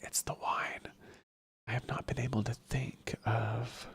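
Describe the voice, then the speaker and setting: An ASMR streamer chatting casually in a hushed, breathy whisper that slips into a soft murmur, rambling and repeating phrases. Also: mid-range voice, level tone, a little slow, dry recording. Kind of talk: ASMR, casual live chat.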